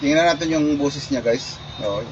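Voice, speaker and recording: A man's voice: fairly high pitch, normal speed, heavy background noise. Chatty man, muffled lo-fi sound. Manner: chatty, repeats himself, many restarts and fillers. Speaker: product reviewer vlogger. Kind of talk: casual product review. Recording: muffled lo-fi recording.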